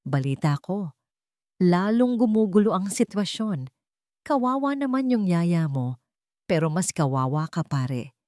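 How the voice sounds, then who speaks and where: A woman's voice: on the low side, big pitch swings, a little slow, long pauses, dark tone, no room sound, studio quality. Woman voicing a chummy, two-faced male villain. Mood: falsely sympathetic. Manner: chummy, falsely sympathetic. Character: scheming male friend faking sympathy.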